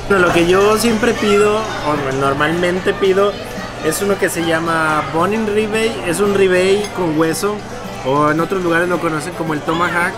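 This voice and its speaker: Mexican-accented man, thirties, casual food vlog host, recorded amid loud restaurant crowd and music. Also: high-pitched, normal speed. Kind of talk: casual chat to camera. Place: busy restaurant.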